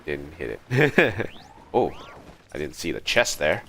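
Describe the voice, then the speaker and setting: A man's voice: low voice, big pitch swings, slow, vocal fry, background noise. A man reacting casually on a game livestream, with offhand muttering and playful sing-song asides. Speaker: game streamer. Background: game music.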